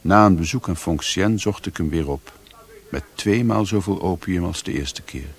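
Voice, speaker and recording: A man's voice: low voice, normal speed, husky. An actor playing a sombre man who recounts his grim adventures in literary radio drama narration.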